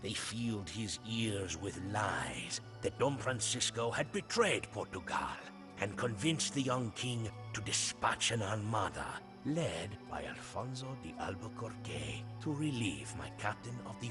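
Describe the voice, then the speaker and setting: A man's voice: fairly low pitch, sing-song, a little slow. A man playing a proud Portuguese seafarer, narrating a strategy game's storybook campaign in a grave, theatrical manner. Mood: grave, scornful. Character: proud Portuguese seafarer recounting his captain's conquests. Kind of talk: dramatic scripted storybook narration for a strategy game. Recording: studio voice over prominent music.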